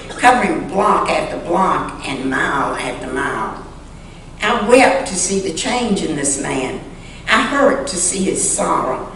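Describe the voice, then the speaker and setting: A woman reading a story aloud dramatically and earnestly, with deliberate pauses, in a church sanctuary. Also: on the low side. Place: large indoor church sanctuary. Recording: echoey church sanctuary, distant mic, faint noise.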